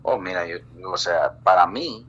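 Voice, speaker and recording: A man from El Salvador, a guest in a casual live-stream chat, talking in a halting way with many restarts and fillers. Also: low voice, a bit bright, highs cut off.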